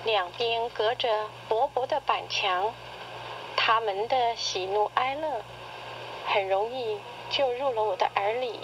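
A middle-aged woman reading a personal essay in radio drama style, with measured, literary, expressive delivery. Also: on the high side, lo-fi sound. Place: played back from a cassette recorder speaker. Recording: noisy cassette tape played through a recorder.

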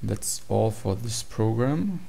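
A man's voice: on the low side, expressive, takes it slowly, vocal fry, warm tone, husky. A man giving an informal, matter-of-fact tutorial walkthrough of program code. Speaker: tutorial presenter.

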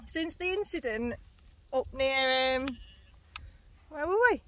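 A woman's voice: high-pitched, slow, fairly bright tone. A woman in her thirties chatting to a phone camera held at arm's length while hiking through windy fields, grumbling, laughing and swearing casually. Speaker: hiking vlogger. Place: open hillside fields outdoors, windy. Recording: music playing under the voice.

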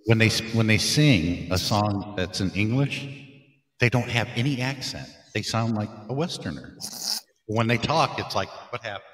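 A man's voice: on the low side, expressive, normal speed, fairly bright tone. A man chatting casually and joking as a guest on a livestream video call. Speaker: livestream guest, team member. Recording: echoey call audio with long reverb.

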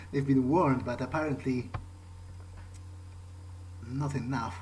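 A man's voice: mid-range voice, fairly even intonation, slow, a little mellow, slight background noise, rough recording. A man doing in-character game commentary, thinking aloud in a musing, hesitant way. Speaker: gamer playing in character.

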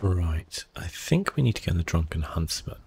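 A man giving relaxed ASMR commentary on a game, understated and hesitant as he thinks aloud close to the microphone. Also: low voice, moderate pace, creaky, slight background noise. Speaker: gaming video host.

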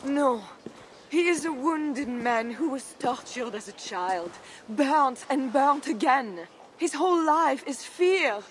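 Pious noblewoman, highish voice, calm and earnest, faint background hiss. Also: slow pace. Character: devout, composed noblewoman, young adult, gentle. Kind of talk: video game cutscene acting.

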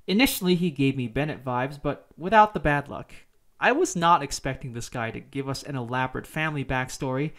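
A man's voice: mid-range voice, lively intonation, fairly quick, fairly bright tone. Man giving casual game review commentary. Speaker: video game review host. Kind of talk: conversational video commentary.

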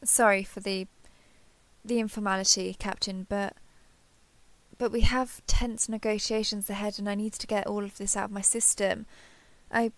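A woman playing an earnest, affectionate starship captain in an intimate sci-fi audio roleplay, speaking haltingly and confessionally. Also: medium pitch, little pitch movement, dry recording, close to an everyday voice. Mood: flustered, tender. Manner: hesitant, halting, apologetic, confessional.

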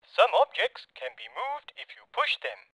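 A man's voice: sits high, huge pitch swings, measured pace, bright, forceful tone, husky, dry recording, poor audio. A man reading scripted help instructions for a video game tutorial aloud. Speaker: game developer recording placeholder help lines.

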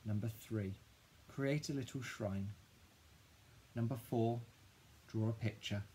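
A man voicing a grieving, dramatic ten-year-old boy who tells his own story in an expressive, husky storybook voice. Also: mid-range voice, takes it slowly, slight background noise, natural voice, low-quality recording. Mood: wistful, hopeful. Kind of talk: first-person children's audiobook narration.

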